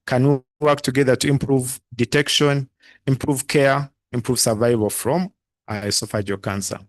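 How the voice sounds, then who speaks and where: A man, a doctor, explaining event plans over a video call in a halting, explanatory way with fillers. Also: medium pitch, steady tone. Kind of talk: briefing on an event.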